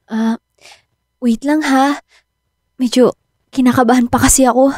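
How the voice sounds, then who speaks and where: Nervous teenage girl for radio drama: hesitant, mellow, fairly high, frightened. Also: fairly even intonation, a little slow, dry recording, studio quality. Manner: hesitant, timid. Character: nervous, frightened eighteen-year-old pregnant girl.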